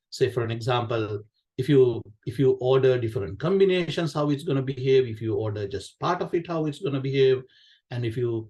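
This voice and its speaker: A man's voice: mid-range voice, a little mellow. A man with a Sri Lankan accent, a native Sinhala speaker, presenting technical material informally, repeating phrases and often checking in with questions. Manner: conversational, repeats phrases, poses questions and tags them with "right?". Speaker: QA director giving a conference session.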